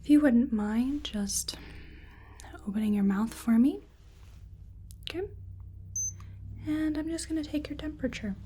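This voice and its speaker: A woman performs a soft-spoken ASMR exam roleplay in a mellow, breathy voice. She often drops into a whisper and takes her time, musing gently and hesitantly. Her pitch sits slightly high beneath all the softness. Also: unhurried, pauses often, a little hiss or hum. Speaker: ASMR performer playing a researcher examining a creature.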